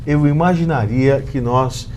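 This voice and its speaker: A man's voice: medium pitch, a little slow, a little mellow. A man, a guest on a talk show, speaking in a formal, flowery, ceremonious way. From Brazil. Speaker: guest, fellow broadcaster. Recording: audible background noise.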